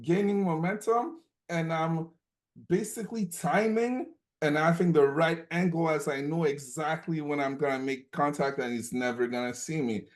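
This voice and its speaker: A man's voice: a little high, fairly even intonation, moderate pace. A young man from Cameroon sharing stories on a group coaching call, emphatic and repetitive, often checking in with "okay?". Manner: emphatic, repeats points, frequent "okay?" check-ins.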